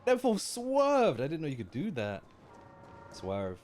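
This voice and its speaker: A man's voice: fairly high pitch, wildly swooping pitch, unhurried, darkish tone. A man streaming live, giving casual, slangy and joking commentary as he reacts to a game. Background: game music.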